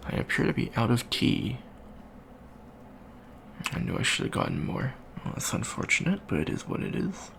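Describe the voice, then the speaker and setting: A man playing an awkward, bookish young alien college student in an ASMR roleplay, talking softly and hesitantly with many ums and stammers. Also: mid-range voice, huge pitch swings, normal speed, vocal fry, pauses often, slight background noise, full character voice. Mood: awkward, musing. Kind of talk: soft-spoken ASMR roleplay.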